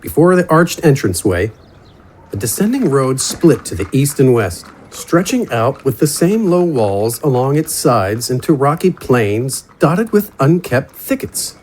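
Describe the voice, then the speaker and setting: A man narrating a scripted fantasy story in a measured, descriptive storytelling manner. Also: medium pitch, big pitch swings, a little mellow, slight background noise. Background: horse and outdoor ambience effects.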